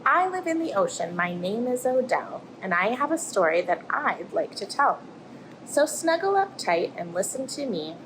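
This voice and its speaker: A woman voicing a friendly, plucky little octopus in an expressive rhyming picture-book read-aloud. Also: on the high side, normal speed, low-quality recording. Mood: storytelling, suspenseful. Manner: expressive storytelling. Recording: clear background noise, aquarium room ambience.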